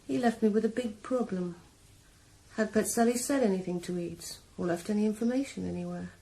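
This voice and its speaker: An actress playing a young woman killer calmly confessing her revenge in a 1980s radio drama. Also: mid-range voice, vocal fry, natural voice, poor audio. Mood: cold confessing. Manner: calm, measured, quietly cold. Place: sauna.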